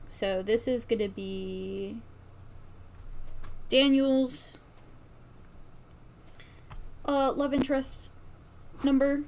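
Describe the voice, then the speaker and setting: Slow, halting woman streamer thinking aloud. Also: sits high, level tone, a little mellow. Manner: halting, trailing off mid-thought. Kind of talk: casual livestream work-along, thinking aloud. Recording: faint background noise, keyboard typing and clicking. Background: computer keyboard typing and mouse clicks.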